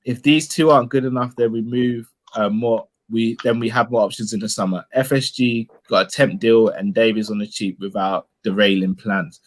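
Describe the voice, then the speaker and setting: A man's voice: fairly low pitch, steady tone, normal speed, few pauses. Laid-back man reading comments. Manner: laid-back, chuckling, conciliatory. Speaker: host reading viewer comments. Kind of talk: casual podcast chat, reading comments aloud.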